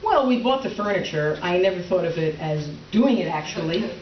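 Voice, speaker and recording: A woman playing a prim, quietly resentful middle-aged wife in a stage comedy reading, hesitant and mildly sarcastic. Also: lowish voice, lively intonation, a little fast, natural voice. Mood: polite, uneasy. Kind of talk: readers theater stage comedy. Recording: roomy, distant, dull, faintly noisy stage recording.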